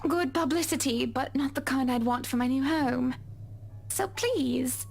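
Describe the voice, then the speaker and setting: An actress playing a wealthy married socialite in a visual novel, gracious yet condescending, commanding, and free with sweet endearments. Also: female voice, high-pitched, unhurried, gravelly, a little mellow, husky, exaggerated voice. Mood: cool, polite.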